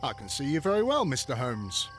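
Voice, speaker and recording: An actor playing a polite, deferential police constable in a video game. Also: male voice, mid-range voice, wildly swooping pitch, fairly quick, a bit bright, soft background music. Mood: pleased. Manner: polite, obliging.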